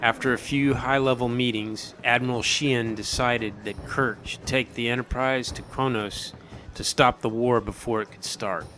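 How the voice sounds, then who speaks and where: A man's voice: mid-range voice, steady tone, normal speed, fairly bright tone, a little hiss or hum, natural voice. A man playing a seasoned, modest starship captain recounting his missions in a sci-fi audio drama. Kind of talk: audio drama acting, storytelling. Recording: music bed under the voice.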